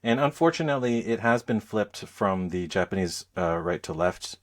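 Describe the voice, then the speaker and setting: A man with a deep, low voice talks casually as a podcast host. He feels his way through sentences in a thoughtful, somewhat hesitant manner. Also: lively intonation, normal speed. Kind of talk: casual chat.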